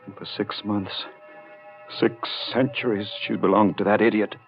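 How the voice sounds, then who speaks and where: Brief: mad, jealous sculptor, 1940s radio thriller. Deep, halting, intense delivery on a dull vintage recording. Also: male voice, steady tone, creaky. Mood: brooding, anguished. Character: brooding, jealous sculptor, quietly mad and menacing. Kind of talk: 1940s radio horror acting. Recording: muffled 1940s radio recording, music behind.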